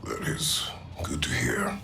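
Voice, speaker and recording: A middle-aged man playing a stern, stoic, protective warrior father in a video game, gravelly and terse, with formal, grave phrasing. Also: slow, whispered, long reverb tail, natural voice. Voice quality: gravelly, deep. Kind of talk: dramatic video game cutscene acting.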